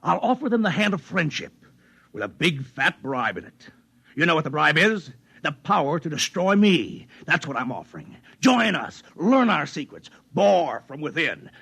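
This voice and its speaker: A fat, aging, power-hungry boss in a 1950s radio play. The voice is husky and fairly high, with big sweeping swings of pitch. He is sardonic and domineering, relishing every cynical scheme. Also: very animated, normal speed, a bit bright, exaggerated voice. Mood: smug scheming. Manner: sardonic, commanding, theatrical. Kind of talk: 1950s radio drama acting. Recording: 1950s radio broadcast recording.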